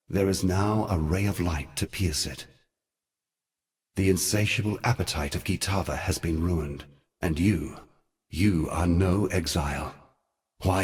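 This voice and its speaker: An actor playing a grave, noble god who guides mortals, speaking in a solemn, measured, lofty way for a fantasy game. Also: male voice, low voice. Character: grave, noble brother god, guiding mentor of exiles. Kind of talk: dramatic fantasy game voice acting.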